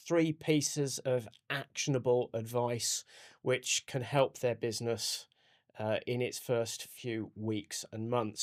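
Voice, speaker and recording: A male podcast host speaks in a middle-pitched voice. He leads the interview conversationally, moving between questions and his own remarks. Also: a little mellow.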